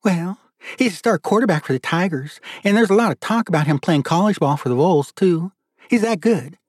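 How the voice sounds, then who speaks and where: An eager teenage girl, voiced by a male narrator in an audiobook, pitched well up. She sounds girlish and sassy, gushing and playful, in a dry, close recording. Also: animated, brisk, dry recording. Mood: excited.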